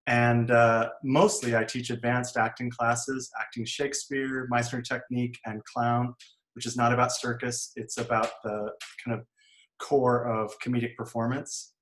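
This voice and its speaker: A man who teaches acting, introducing himself on a video call in a relaxed, lightly halting way. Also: mid-range voice, poor audio. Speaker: acting professor.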